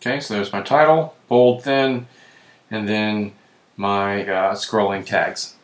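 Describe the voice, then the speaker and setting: Hoarse man in an echoey room, casual walkthrough. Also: on the low side, steady tone, slow pace. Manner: casual, self-correcting, clears throat often. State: losing his voice. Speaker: web tutorial presenter. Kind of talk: screen-recorded software walkthrough.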